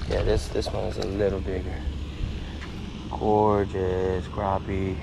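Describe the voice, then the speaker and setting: A man giving casual running commentary to viewers while fishing at a park pond, getting excited over each catch. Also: deep voice, takes it slowly, creaky. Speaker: fishing vlogger. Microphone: head-mounted action camera. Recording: outdoor camera audio with noise.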